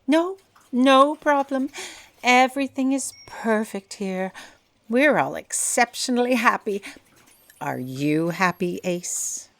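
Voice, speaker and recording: Imperious muddled editor, self-important, unhurried, lively intonation, audio comedy. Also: female voice, fairly high pitch, dry recording, exaggerated voice. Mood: breezy denial. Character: imperious, muddled editor-in-chief who has forgotten her name. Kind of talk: comedic audio drama acting.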